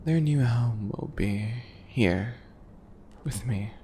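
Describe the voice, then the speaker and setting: A smug little bunny alchemist, acted out by a man, taunts in a slow ASMR roleplay. The voice is gravelly with fry and slips into breathy, mocking whispers. It sounds sweetly possessive, with some noise in the background. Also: lowish voice, warm tone. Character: smug, teasing, obsessive yandere bunny alchemist, male.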